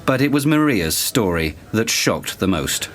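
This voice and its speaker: An actor playing a sober investigative reporter, narrating a grim exposé gravely and deliberately in an audio drama. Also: male voice, lowish voice, sing-song, fairly bright tone, slight background noise.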